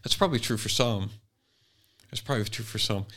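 A man's voice: on the low side, a little fast, fairly bright tone. A man co-hosting a conversational podcast, thinking aloud and repeating phrases for emphasis. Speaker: podcast co-host. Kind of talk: conversational commentary.

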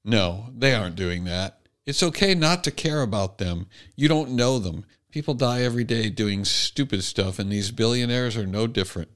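Clear-voiced man talking on a podcast. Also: on the low side, expressive, moderate pace, few pauses, a bit bright. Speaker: podcast host. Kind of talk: conversational talk, reading out online comments.

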